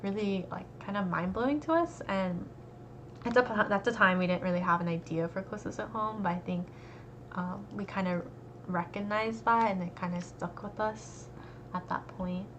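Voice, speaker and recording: A young American woman, an art student, talking casually and relaxed, with lots of fillers. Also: mid-range voice. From United States. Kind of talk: casual conversation. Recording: dull lo-fi recording with faint noise.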